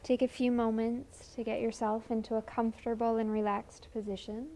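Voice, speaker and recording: A woman leading a guided meditation in a gentle, soothing, unhurried manner with long pauses. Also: fairly high pitch, little pitch movement.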